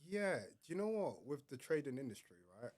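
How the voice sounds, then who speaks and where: A man, a bit croaky from being ill, explaining his work as a podcast guest in a measured way, with plenty of fillers. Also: a little high, sing-song, a little mellow. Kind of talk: casual podcast interview.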